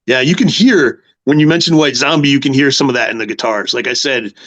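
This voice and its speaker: A man's voice: mid-range voice, fairly quick, fairly bright tone, highs cut off. A man interviewing a musician, talking like an enthusiastic fan with hesitant restarts. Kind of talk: casual interview chat.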